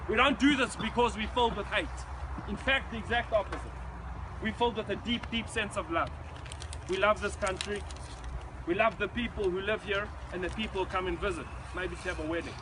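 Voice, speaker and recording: A man's voice: high voice, a little fast, background noise. A middle-aged man with a South African accent giving an earnest, emphatic motivational speech to a visiting group outdoors. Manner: earnest, emphatic, acts out quoted shouting. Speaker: speaker addressing a visiting group.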